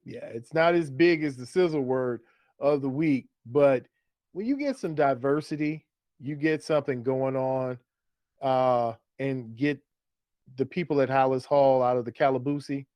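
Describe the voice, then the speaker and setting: A man with a medium-pitched voice chats as co-host of a fantasy sports show. He is conversational and opinionated, and the sound is dry, with no room echo. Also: unhurried, darkish tone. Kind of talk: casual fantasy football banter.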